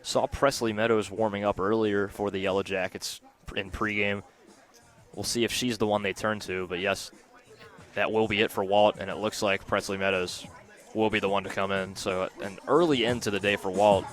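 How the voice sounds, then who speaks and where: Low-voiced male softball color commentator. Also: little pitch movement, normal speed, soft background music. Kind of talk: live softball analysis, conversational.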